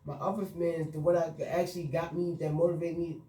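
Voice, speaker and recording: A man's voice: fairly high pitch, flat delivery, fairly quick, echoey room, recorded from a distance, poor audio. A young man, a party promoter speaking earnestly in a radio interview. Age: young adult. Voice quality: soft voice. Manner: earnest, frustrated storytelling with frequent fillers.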